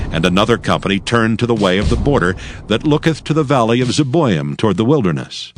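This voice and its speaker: A man narrating scripture in a measured, solemn, scripted reading for a dramatized audio production. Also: lowish voice.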